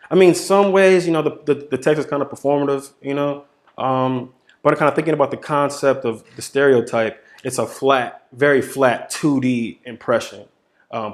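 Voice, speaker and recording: A man speaking informally as a poet answering questions, thinking aloud haltingly and repeating phrases for emphasis. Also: mid-range voice.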